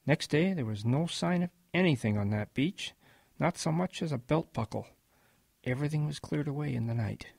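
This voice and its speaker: Man acting a gravelly, husky old grandfather. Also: fairly high pitch, lively intonation, moderate pace, dry recording, studio quality, exaggerated voice. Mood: reflective. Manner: reflective, gentle storytelling. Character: wistful old grandfather recounting his wartime boyhood. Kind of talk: audio drama storytelling.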